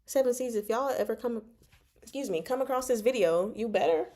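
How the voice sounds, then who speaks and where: A woman's voice: fairly high pitch, expressive, brisk, background noise. A woman hosting a casual book discussion stream, rambling and playful as she thinks aloud and answers viewers. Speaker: host of a book discussion livestream.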